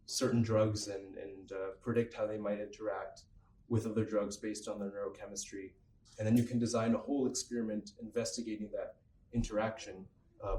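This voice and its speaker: Young guy lab student, low flat voice, lots of ums, echoey room. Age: young adult. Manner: measured, hesitant with many ums. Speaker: university student, lab researcher. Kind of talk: candid panel discussion. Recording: roomy, distant mic, faint noise.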